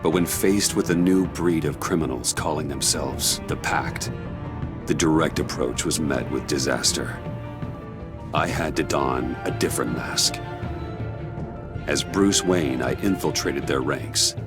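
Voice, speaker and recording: An actor playing a brooding vigilante hero in a video game, speaking in a grave, terse, guarded way. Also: male voice, a bass voice, a little slow. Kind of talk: video game voice acting, scripted narration. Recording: game audio under loud music.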